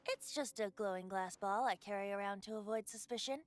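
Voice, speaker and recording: Woman as boyish ancient wind god, carefree bard, airy and wistful, measured storytelling, video game acting. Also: medium pitch, close to an everyday voice. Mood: playful, confiding.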